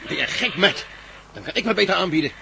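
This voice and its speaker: A man playing a brisk, loyal and practical friend in a radio drama. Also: high-pitched, animated, vocal fry, noisy background, full character voice. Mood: urgent, reassuring.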